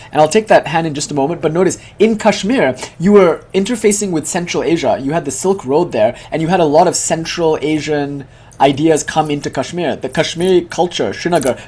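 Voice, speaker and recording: Fast, husky-voiced male lecturer. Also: on the high side, darkish tone. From Malaysia. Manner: fast, eager explaining with frequent "like". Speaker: teacher. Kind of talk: lecturing a live group.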